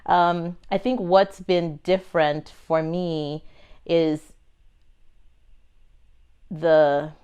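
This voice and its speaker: A woman's voice: lowish voice, flat delivery, drawn-out words, long pauses, darkish tone, clean tone, highs cut off. A middle-aged woman from Nigeria with a Nigerian accent tinged with American and British, answering interview questions in a thoughtful, earnest way. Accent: Nigerian, with American and British touches. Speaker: pharma executive guest.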